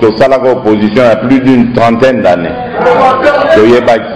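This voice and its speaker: A man's voice: medium pitch, normal speed. A man from the Democratic Republic of the Congo giving an emphatic public speech to a crowd. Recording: echoey crowd recording. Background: loud crowd.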